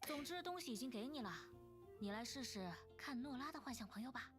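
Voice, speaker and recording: Young anime game character: high voice, teasing, coy, expressive, over clear background music. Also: female voice, moderate pace. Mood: playful, coy. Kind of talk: anime-style video game voice acting.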